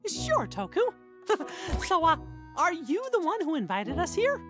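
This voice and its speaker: A man voicing a small, cheerful, earnest cartoon mouse hero in a squeaky falsetto, with a folksy, eager manner. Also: huge pitch swings, unhurried, music in the background, heavily acted. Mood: friendly, modest. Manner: gee-whiz, folksy, eager. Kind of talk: animated video game cutscene acting.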